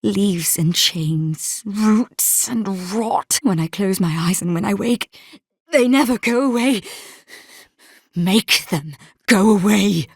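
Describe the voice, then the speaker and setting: A woman voicing a stern, haunted imperial captain in a video game, with formal, deliberate wording. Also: on the low side, darkish tone, slightly rough, no echo, studio quality. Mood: feverish, tormented. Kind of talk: scripted game character dialogue.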